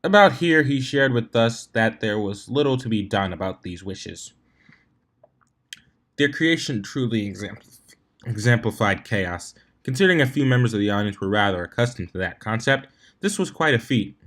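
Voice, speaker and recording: A man's voice: on the low side, lively intonation, normal speed, fairly bright tone. Man narrating a story, amateur read-aloud. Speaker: fan fiction reader, narrator.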